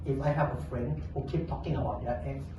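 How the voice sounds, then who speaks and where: A man from Thailand, giving a humorous contest speech with playful, deadpan storytelling. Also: medium pitch, quick pace, dull audio. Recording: echoey hall, distant mic, audience noise.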